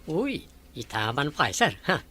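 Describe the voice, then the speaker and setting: An actor playing a cheeky but respectful office underling in a radio drama, teasing and giggly. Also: male voice, on the high side, sing-song, normal speed, bright, forceful tone. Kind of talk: Ilocano radio drama acting.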